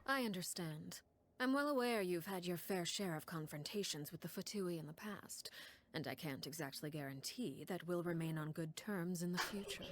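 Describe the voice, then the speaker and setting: A woman voicing a composed, commanding female head of an orphan house in a video game, calm and measured. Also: on the low side, a little hiss or hum, close to an everyday voice. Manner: calm, measured, quietly intimidating. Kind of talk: game voice acting.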